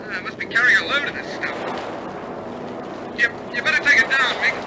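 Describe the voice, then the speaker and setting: A man's voice: very high voice, fairly quick. A man playing an RAF bomber crewman in an old-style radio drama, speaking in a clipped, urgent, stoic military way. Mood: tense, stoic. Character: male RAF bomber crewmen in tense wartime flight. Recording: noisy old recording, loud aircraft engine drone. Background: aircraft engine roar.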